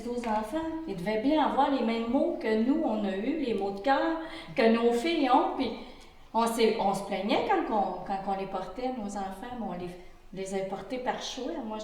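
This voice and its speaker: An earnest Québécois woman in her sixties gives her testimony, pausing and restarting as she searches for words. She sits some distance from the microphone. The room around her is very echoey. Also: a little high, darkish tone. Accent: Québécois French. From Quebec. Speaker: interview participant. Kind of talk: reflective group interview testimony. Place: indoor room with a pump running.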